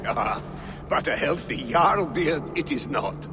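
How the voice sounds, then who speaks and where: An actor playing a loyal, jovial and proud Norse warrior in a video game, speaking in colorful, declamatory phrases. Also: male voice, a little high, animated, slow. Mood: friendly. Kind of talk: dramatic video game acting. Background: music.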